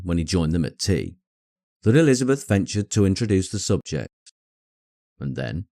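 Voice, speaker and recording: A man with an English accent reading a classic novel as a calm, measured audiobook narrator. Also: sits low, dry recording, studio quality. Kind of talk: scripted literary narration.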